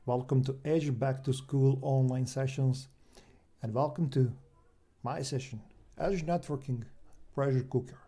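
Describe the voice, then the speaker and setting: A man from Serbia with a Serbian accent, a native Serbian speaker, giving an explanatory technical presentation as a trainer, in halting non-native English with questions he poses to himself. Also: medium pitch, steady tone, dark tone. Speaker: cloud engineer and trainer presenting an online session.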